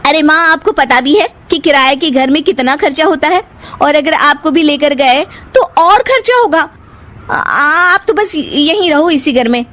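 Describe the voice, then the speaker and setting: A woman's voice: high-pitched, expressive, measured pace, fairly bright tone, voice pushed up. A woman voicing a bossy, scolding elder daughter-in-law in a cartoon story. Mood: demanding.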